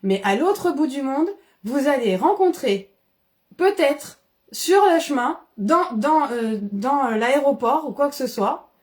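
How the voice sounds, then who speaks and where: A woman from the Paris region, explaining ideas in casual, animated conversation with frequent restarts. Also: sits high, a little slow. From Paris region, France. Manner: animated, many restarts and fillers.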